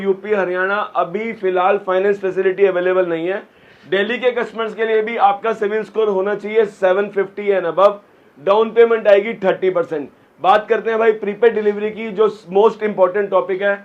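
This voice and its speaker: This is a man with a fairly high voice, a little hoarse from a sore throat. He reels off phone prices in short, clipped lists, like a seller pitching in a small shop. The sound is dull and lo-fi.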